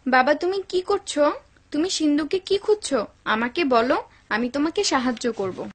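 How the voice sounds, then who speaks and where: A woman's voice: sits high, expressive, normal speed. A woman playing a worried, devout mother in a dubbed cartoon, anxious and pleading.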